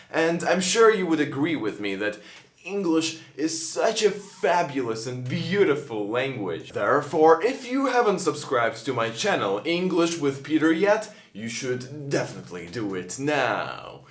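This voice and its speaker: Young man, native Russian speaker, English teacher, slow lively delivery, echoey room. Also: on the high side. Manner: slow, expressive, earnest advice. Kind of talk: on-camera English lesson.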